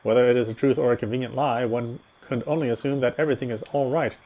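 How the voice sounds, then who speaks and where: Male narrator for a horror visual novel; medium pitch, first-person, dramatic reading. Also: fairly even intonation, fast talker, dark tone.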